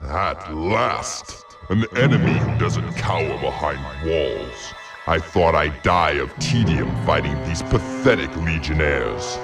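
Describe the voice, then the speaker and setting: Very deep, menacing warlord. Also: male voice, animated, slow, far from the mic, over music, exaggerated voice. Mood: scornful, eager. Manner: haughty, scornful, formal. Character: menacing, contemptuous warlord villain hungry for battle. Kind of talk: fantasy video game villain acting.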